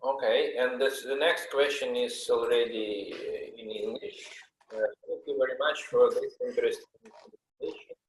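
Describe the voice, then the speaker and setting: A man's voice: mid-range voice, normal speed, echoey room, slight background noise, poor audio. A man moderating a webinar, reading audience questions aloud and switching between two languages. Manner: reads questions aloud, mixing English and Ukrainian.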